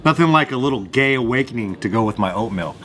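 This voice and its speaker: A man's voice: mid-range voice, expressive, quick pace, background noise. A man co-hosting a table read, delivering his lines and comments casually. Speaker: show co-host reading parts. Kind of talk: casual line reading and comments.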